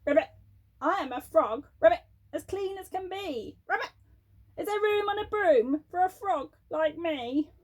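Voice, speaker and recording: A woman voicing a polite male pond frog in a bedtime story, croaking between phrases. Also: very high-pitched, animated, measured pace, pitched above the performer's own voice. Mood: polite, hopeful.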